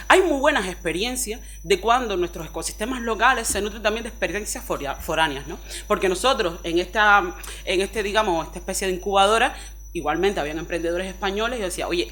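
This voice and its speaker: This is a woman with a Cuban Spanish accent speaking on a forum panel. Her voice sits at a mid pitch, and her delivery is expressive and chatty, full of little tag questions. A faint electrical hum runs under the recording. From Cuba. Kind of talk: panel discussion talk.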